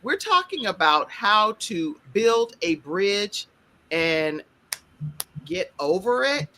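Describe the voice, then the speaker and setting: A woman hosting a talk show over a video call, animated and playful, laughing often. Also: mid-range voice, slow pace, crowd noise.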